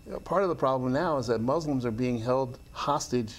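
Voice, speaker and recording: A man on a studio panel, giving measured, thoughtful opinions with frequent "you know". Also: medium pitch. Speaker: panel guest.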